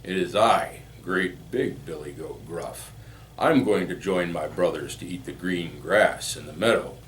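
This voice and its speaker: A man's voice: low-pitched, a little slow, slight background noise. A man voicing a fearless great big billy goat, the confident eldest brother in a storybook. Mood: bold. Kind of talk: storybook character voice.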